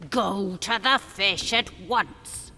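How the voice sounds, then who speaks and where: A woman's voice: mid-range voice, slow pace, sharp tone, slight background noise. An actress playing a greedy, imperious fisherman's wife in a fairy tale audio drama, haughty and commanding. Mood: demanding. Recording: long hall reverb, music behind.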